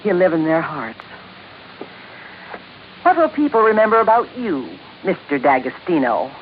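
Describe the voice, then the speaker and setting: A woman's voice: lowish voice, very animated, normal speed. A woman playing an earnest, tender-hearted young townswoman in a 1950s radio drama, with a pleading way of talking. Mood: reproachful. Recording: tinny, noisy old radio recording.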